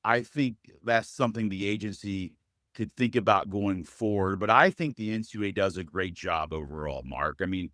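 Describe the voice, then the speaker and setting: Deep, loud-voiced man. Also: no room sound, clean studio sound. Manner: earnest, measured, addresses host by name often. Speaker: guest. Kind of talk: interview conversation.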